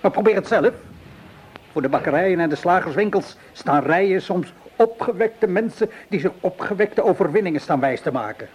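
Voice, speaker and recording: A cynical, middle-aged tavern keeper in a radio play. His voice sits a little high for a man, and he talks with weary, measured sarcasm. The old recording carries faint noise. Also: animated, darkish tone, rough recording. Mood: scornful, sardonic. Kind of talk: Dutch radio play acting.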